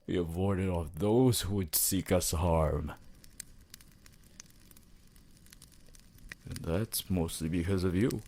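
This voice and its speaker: Man voicing a very deep, slow ancient vampire lover. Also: a little mellow, exaggerated voice. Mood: tender, proud. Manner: slow, formal, theatrical phrasing with pauses. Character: ancient possessive male vampire, devoted and refined lover. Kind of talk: roleplay ASMR, intimate dramatic monologue.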